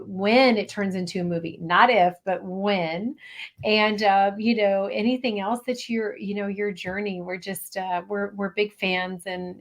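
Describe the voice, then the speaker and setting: Female co-host, enthusiastic and effusive; casual fan-like warmth toward the guest. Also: medium pitch. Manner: enthusiastic and gushing, laughs easily. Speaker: podcast co-host. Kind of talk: live podcast hosting.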